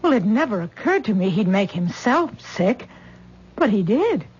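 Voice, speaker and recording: A fretful, husky middle-aged mother. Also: medium pitch, big pitch swings, moderate pace, a little mellow. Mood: anxious. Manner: fretful, coaxing. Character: worried mother of grown daughter Tessa. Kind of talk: 1970s radio drama acting. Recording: dull old radio broadcast recording.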